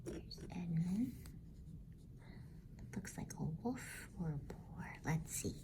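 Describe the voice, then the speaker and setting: A woman reading a bedtime story as ASMR in a hushed, unhurried whisper. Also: fairly low pitch, lively intonation.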